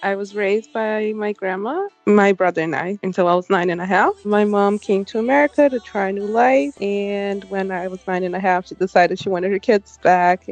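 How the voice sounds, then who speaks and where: A woman's voice: medium pitch, muffled recording. A young woman from Brazil, a guest in an interview, telling her story in an upbeat way and laughing often. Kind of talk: conversational interview.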